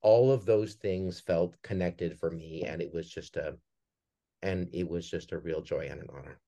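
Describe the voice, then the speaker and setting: A man's voice: deep voice, normal speed, creaky, warm tone. A middle-aged man with a Minnesota accent, talking earnestly and reflectively as an interview guest. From Minnesota, United States. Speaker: podcast guest, theater director. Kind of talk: conversational interview.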